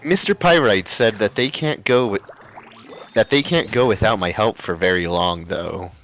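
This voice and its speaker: A man voicing a gentle but disapproving young cartoon mother in a measured, mildly exasperated way. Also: lowish voice, faint music behind, pitched below the performer's own voice.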